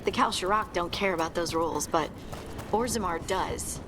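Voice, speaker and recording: A woman's voice: on the low side, moderate pace, background noise, natural voice. An actress playing an earnest, searching young dwarf woman in a video game, speaking in a wistful, conversational way. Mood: explaining. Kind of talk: video game dialogue acting. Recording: game music behind the voice. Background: game soundtrack music.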